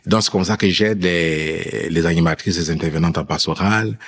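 Man, very low voice, husky, personal storytelling, interview guest, acts out quoted dialogue. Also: moderate pace. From immigrant who lived in France before Quebec. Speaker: guest telling his faith story.

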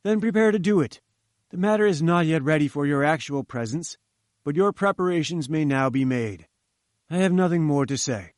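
Male reader doing a loud, haughty old professor; curt, lecturing, high and forceful. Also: lively intonation, moderate pace, dry recording, clean studio sound, close to an everyday voice. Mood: domineering. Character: imperious, irascible older male scientist.

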